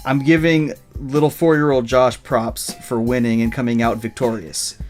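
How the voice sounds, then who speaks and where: A man's voice: mid-range voice, steady tone, normal speed, soft background music. A man joking casually as a podcast co-host.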